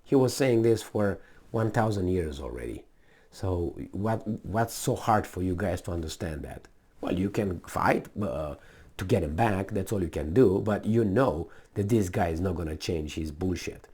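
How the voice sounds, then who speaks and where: A man's voice: deep voice, animated, moderate pace, a little mellow, husky. A man with a Romanian accent gives casual, unscripted political commentary in a blunt, emphatic, rambling way full of asides and repetition.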